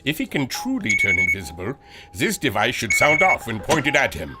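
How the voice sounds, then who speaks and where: A man's voice: mid-range voice, wildly swooping pitch, moderate pace, over loud music. A man playing an earnest, proud and polite scientist-inventor with a German accent, in old radio serial style. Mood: proud explaining. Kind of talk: 1940s radio serial acting.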